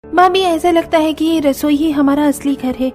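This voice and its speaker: A woman voicing busy, dutiful young daughters-in-law in a cartoon story, talking briskly and practically. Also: high voice, steady tone, a little mellow, voice pushed up, natural voice. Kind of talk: Hindi cartoon story dialogue.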